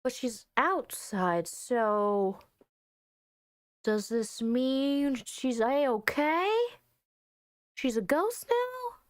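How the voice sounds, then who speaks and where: Animated high-voiced woman reacting to a game. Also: drawn-out words, long pauses, no echo, studio quality. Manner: effusive, exclaiming, theatrical. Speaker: streamer playing a visual novel. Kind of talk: game playthrough commentary and reading aloud.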